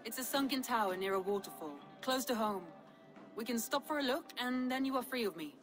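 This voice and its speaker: Woman playing a high-voiced, spirited Viking woman. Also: lively intonation, brisk, soft background music, close to an everyday voice. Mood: eager, teasing. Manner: playful, confident, hearty. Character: Randvi, spirited bold Viking woman, teasing and adventurous. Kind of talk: dramatic video game acting.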